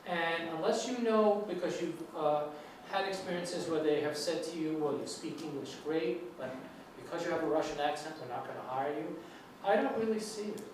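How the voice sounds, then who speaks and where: A man's voice: a little high, normal speed. A man with a New York accent giving an English lecture to students, reassuring and rambling with many restarts. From United States. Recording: echoey hall, distant mic, noisy.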